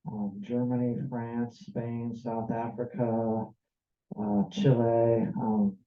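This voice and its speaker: A man's voice: medium pitch, takes it slowly. An American man giving an earnest, meandering personal testimony to a church group, sounding a little jet-lagged. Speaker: church member sharing a mission trip report. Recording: tinny, echoey room recording.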